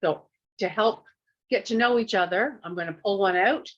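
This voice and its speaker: A woman's voice: medium pitch, moderate pace. An older woman casually explaining a question game over a video call. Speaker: club member running a question game. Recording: slightly dull video-call audio.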